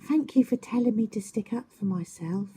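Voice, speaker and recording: A woman voicing a gullible, earnest young male owl in a storybook, excitable and timid. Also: medium pitch, moderate pace, husky, pitched above the performer's own voice, natural voice. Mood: grateful.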